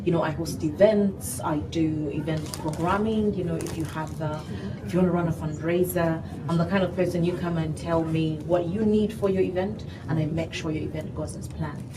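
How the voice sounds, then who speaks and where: A young woman with a Ugandan accent talks animatedly about her music in an interview. Her voice sits slightly low. She is picked up from some distance, with noticeable background noise. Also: moderate pace, hardly any pauses. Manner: animated, sprinkled with "you know". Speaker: gospel singer and guest. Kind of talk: interview conversation. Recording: distant mic, noisy background.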